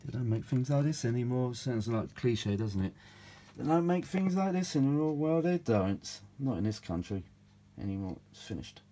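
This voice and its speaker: A man's voice: mid-range voice, big pitch swings, normal speed, warm tone, slight background noise. A British man chatting casually and ramblingly as he works on his hobby, in a grumbling, world-weary, mildly sweary manner. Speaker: vintage audio repair hobbyist presenting his own videos. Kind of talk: casual rambling workbench chat.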